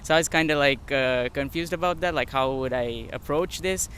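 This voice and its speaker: A man of twenty-six from Sri Lanka giving a casual street interview, halting and often saying "like". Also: mid-range voice, a bit bright. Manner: halting, filler-heavy with frequent "like". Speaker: foreign student interviewee. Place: outdoors on a city street. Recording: outdoor street, faint traffic noise.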